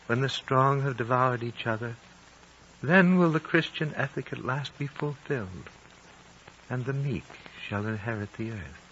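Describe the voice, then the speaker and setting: A man's voice: medium pitch, expressive, fairly bright tone. A muffled, hissy old radio recording carries an ancient male lama. He is serene and fatherly, speaking with solemn formality and prophetic weight in vintage radio-drama style. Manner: slow-phrased, solemn, formal. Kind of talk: 1950s radio drama acting.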